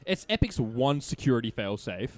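A man with a medium-pitched, very lively voice chats as a podcast co-host. His delivery is casual and jokey, with loose swearing and big swings in intonation. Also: moderate pace. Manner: sweary, joking. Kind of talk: casual banter.

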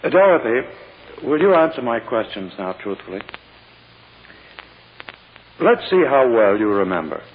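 An actor playing a pompous, impatient prosecutor questioning a child in a condescending, formal way in a 1940s radio drama. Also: male voice, mid-range voice, big pitch swings, unhurried, long pauses. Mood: patronizing. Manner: coaxing, condescending, formal courtroom address. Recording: old radio recording, muffled, long hall reverb.

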